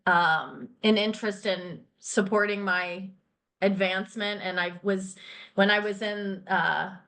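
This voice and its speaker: A middle-aged woman speaking as a guest in a relaxed interview. She is chatty and candid, pausing often on fillers. Her pitch sits in an ordinary middle range. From grew up in Thailand and England. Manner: chatty, candid, laughs easily, many fillers. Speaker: guest, finance company founder. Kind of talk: casual interview chat.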